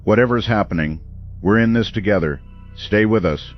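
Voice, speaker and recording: A man playing a concerned, reassuring call-in radio host in an audio drama, speaking gently and soberly. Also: lowish voice, moderate pace, soft background music, natural voice. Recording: dull lo-fi radio sound.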